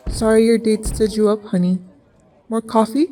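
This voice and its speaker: A woman's voice: fairly high pitch, fairly even intonation, normal speed, dark tone, close to an everyday voice. A woman playing a brassy, familiar diner waitress in a sci-fi audio drama, recorded from a distance with some noise. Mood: sassy, familiar. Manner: familiar, sassy, endearments. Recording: noisy, distant-sounding microphone.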